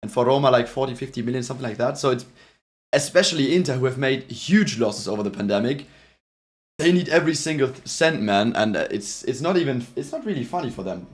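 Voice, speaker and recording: A man's voice: medium pitch, lively intonation, fast talker. A man with a German accent talks through a live football reaction stream. He chats loosely, stops and restarts sentences, and thanks viewers as their messages come in. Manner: chatty, reads out chat messages, many restarts. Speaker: livestream host reacting to a match. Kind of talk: casual live reaction chat.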